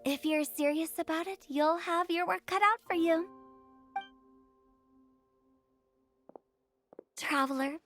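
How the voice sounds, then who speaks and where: An actress playing a playful young funeral director with a theatrical, sardonic, breezy manner in an anime video game. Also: female voice, very high voice, normal speed, fairly bright tone. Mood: teasing.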